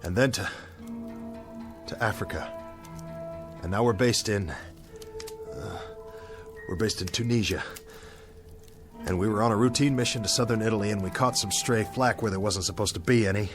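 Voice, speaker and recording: An actor with an American accent playing a dazed, guilt-ridden young bomber captain in a radio drama, talking to himself in broken, searching phrases. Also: male voice, fairly low pitch, normal speed, long pauses, over music, close to an everyday voice.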